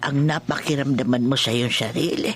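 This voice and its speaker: An actress playing a grave, ominous old village healer woman in a Filipino radio horror drama, speaking in halting, emphatic phrases with a Filipino accent. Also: extremely low pitch, slight background noise. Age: older.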